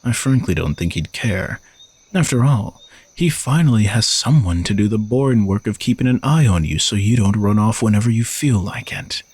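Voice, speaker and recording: A man's voice: fairly low pitch, expressive, normal speed, a little mellow, breathy edge. A man playing an arrogant, sardonic knight who is a reluctant royal bodyguard, teasing and condescending in a romantic audio roleplay. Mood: exasperated, smug. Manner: teasing, dry, exasperated sighs, condescending.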